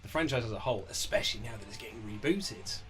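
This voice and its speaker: A man being interviewed as an author, chatting casually and telling anecdotes in an animated, conversational way. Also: fairly low pitch, fast talker, faint music behind. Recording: lo-fi amateur interview setup.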